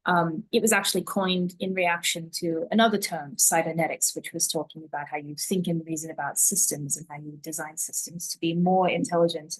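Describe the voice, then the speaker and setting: A woman's voice: on the low side, rough recording. A woman speaking as a panelist, explaining things conversationally with examples in an informal discussion.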